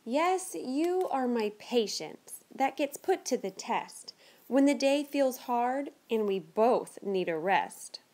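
A woman's voice: a little high, slow. A woman in her thirties hosting a children's read-aloud, speaking expressively to young kids as she presents and reads picture books. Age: 30s. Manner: animated, exaggerated expression for kids.

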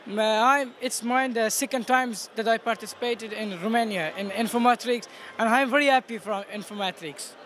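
A teenage male student with an Afghan accent explaining his project in an interview in halting non-native English. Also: high-pitched, fairly bright tone. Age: teens. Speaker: student competition participant. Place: busy exhibition booth indoors. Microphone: handheld interviewer microphone. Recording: noisy event hall background.

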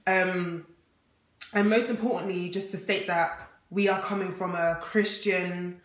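A woman's voice: on the low side, steady tone, unhurried. A talkative woman in her twenties from Ghana, chatting casually to camera as co-host of a vlog, laughing often. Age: 20s. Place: indoor room with plain wall. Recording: roomy echo.

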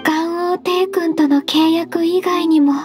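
Very high, gentle anime secretary. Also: female voice, fairly even intonation, slow. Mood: moved, tender. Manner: soft-spoken, polite, gentle. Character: gentle, modest, ageless half-beast female secretary. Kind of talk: Japanese anime game voice acting. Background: background music.

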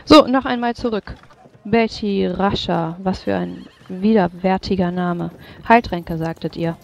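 A woman's voice: fairly low pitch, measured pace, gravelly, darkish tone, muffled recording. A woman playing a businesslike, politely formal official taking a record in a tabletop roleplay, with some hesitation.

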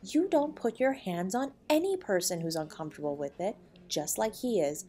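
A woman playing a protective, loving wife in an intimate audio roleplay, with a breathy voice. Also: medium pitch, expressive, moderate pace, dark tone, lightly acted. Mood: firm, indignant. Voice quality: breathy, often dropping to a whisper. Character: protective loving wife comforting and defending her husband.

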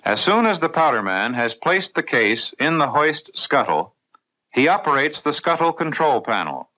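A man with an American accent reading scripted mid-century instructional film narration for the navy in a clear, methodical, authoritative way. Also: fairly high pitch, big pitch swings, moderate pace, sharp tone, rough recording. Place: voice-over recorded in a studio.

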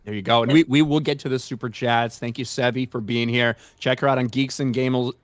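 A man's voice: medium pitch, fairly even intonation, fairly quick, dry recording. A man hosting a casual livestream chat, joking, swearing and tripping over his words. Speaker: host.

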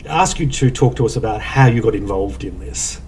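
An older man hosting a roundtable interview, courteous and measured, with frequent ums as he introduces his guest. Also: lowish voice, animated, distant mic, slight background noise. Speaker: host. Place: office meeting room, indoors. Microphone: desk microphone from a distance.

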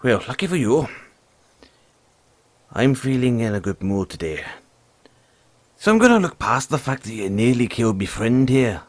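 A man playing a gruff but friendly forest dragon tamer, talking in a folksy way straight to the listener in an audio roleplay. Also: medium pitch, big pitch swings, moderate pace, long pauses, dry recording. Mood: friendly, explaining. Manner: folksy, teasing, calls the listener lad.

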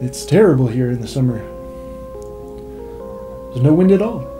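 A man's voice: medium pitch, animated, moderate pace. A man who directs films, giving behind-the-scenes commentary with dry, wry asides. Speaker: film director. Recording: faint music underneath.

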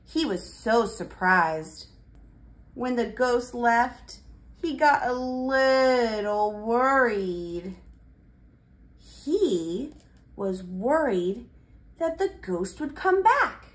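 Animated, slow-paced woman children's presenter. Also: on the high side. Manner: exaggerated, simple, child-directed delivery. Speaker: children's educational presenter and storyteller. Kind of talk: toddler lesson and picture-book read-aloud.

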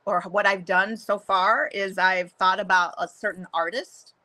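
A middle-aged woman with a deeper voice for a woman, chatting casually as an interview guest over a video call, with many fillers and restarts. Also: fairly even intonation, unhurried, sharp tone. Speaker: guest, photographer and songwriter. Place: home room, video call.

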